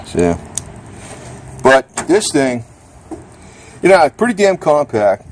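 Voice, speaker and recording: A man's voice: a little high, big pitch swings, slow. A man chatting casually and unscripted as he reviews gear, rambling bluntly with salty slang, outdoors under a carport. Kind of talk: unscripted casual product chat. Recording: noisy outdoor recording with ambient hum.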